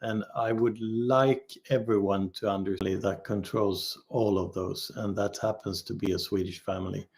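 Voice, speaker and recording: A man speaking as a guest in a casual unscripted discussion, hesitant and halting, stretching out his thoughts with pauses. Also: fairly low pitch, fairly even intonation, gravelly, a little mellow. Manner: hesitant, halting, drawn-out phrasing with long pauses. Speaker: livestream guest.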